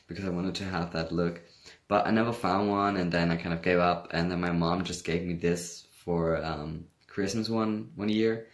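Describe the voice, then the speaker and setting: A man's voice: deep voice, creaky. A young man from Hamburg with a German accent, chatting casually on a livestream, reading viewer comments and laughing often. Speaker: livestream host.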